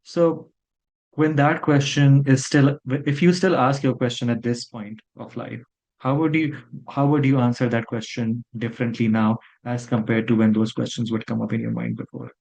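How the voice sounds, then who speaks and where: A man's voice: mid-range voice, steady tone, moderate pace, vocal fry, a little mellow, rough recording. A man hosting a podcast, framing his questions carefully and stumbling over words along the way. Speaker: podcast host. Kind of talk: interview questions.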